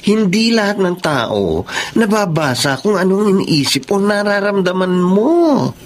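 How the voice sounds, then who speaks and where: Casting: supportive Filipino best friend, middle-pitched, animated, teasing radio drama delivery. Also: female voice, measured pace. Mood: frank, advising. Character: supportive, frank young female best friend.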